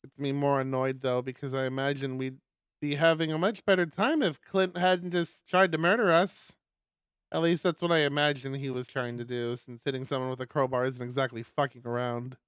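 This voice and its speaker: A mid-pitched streamer reads a visual novel aloud and chats between passages. The delivery is animated, rambling and sweary, recorded dry and close. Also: brisk, clear, resonant tone, no room sound. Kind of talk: casual live game stream banter and narration.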